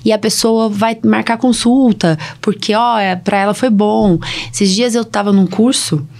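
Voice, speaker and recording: A woman's voice: mid-range voice, steady tone, unhurried, creaky, darkish tone. A woman with a Brazilian accent from São Paulo state, hosting a casual podcast as a doctor, chatty and anecdotal, often checking for agreement. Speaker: podcast host, allergist doctor. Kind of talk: casual conversational podcast chat.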